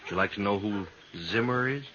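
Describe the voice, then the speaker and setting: An actor playing a folksy prisoner in a 1950s radio western, telling things in a rustic, drawn-out way. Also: male voice, fairly low pitch, bright, forceful tone. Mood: confiding. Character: folksy Old West prisoner angling for trust. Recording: dull vintage radio recording, faint noise.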